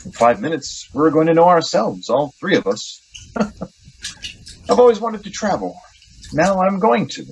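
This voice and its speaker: An actor playing an idealistic, excitable young poet in a radio drama, earnest and theatrical with halting phrasing. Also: male voice, a little high, a little hiss or hum, poor audio. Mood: exalted, eager.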